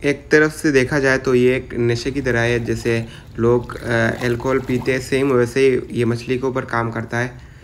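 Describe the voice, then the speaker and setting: A man's voice: mid-range voice, flat delivery, measured pace, a little hiss or hum. A young man talks into a phone camera outdoors beside a river. His delivery stays level and unvaried, and he rambles with plenty of filler words. The speech comes across casual and unscripted. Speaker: vlogger. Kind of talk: casual vlog narration.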